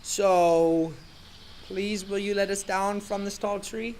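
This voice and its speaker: Man acting a high-voiced, melodramatic hero. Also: fairly even intonation, slow pace, darkish tone, a little hiss or hum. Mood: pleading. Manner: theatrical, exasperated. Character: melodramatic, exasperated Canadian hero and narrator. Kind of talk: comic radio play acting and narration.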